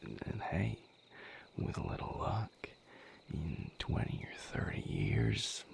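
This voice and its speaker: A man playing a gentle, patient vampire mate in an intimate audio roleplay, coaxing softly in a breathy, gravelly whisper. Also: low-pitched, sing-song, exaggerated voice. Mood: warm, playful. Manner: soothing, coaxing, unhurried guidance.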